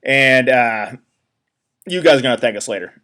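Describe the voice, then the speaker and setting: A big, proud bear from a storybook brags loudly about his strength, voiced by a man. The voice is pushed and swaggering, bossy toward the smaller animals. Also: medium pitch, animated, moderate pace. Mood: boastful. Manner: boastful, swaggering.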